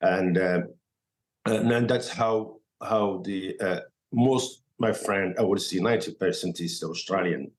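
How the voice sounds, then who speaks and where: A man from Morocco with a Moroccan accent and Arabic as his first language, telling his story as a podcast guest in halting, filler-filled English. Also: low-pitched, rough recording. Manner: halting non-native English, many fillers and restarts. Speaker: guest chef. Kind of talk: casual podcast interview.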